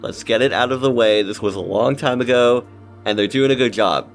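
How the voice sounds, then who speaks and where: A man's voice: medium pitch, fairly quick, soft background music. A man giving casual scripted commentary as a video essayist.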